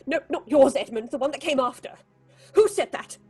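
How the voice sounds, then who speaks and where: A woman playing a highly strung, superstitious theatre director in an audio horror drama, imperious and flustered. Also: animated, normal speed, warm tone, soft background music. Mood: agitated, accusing.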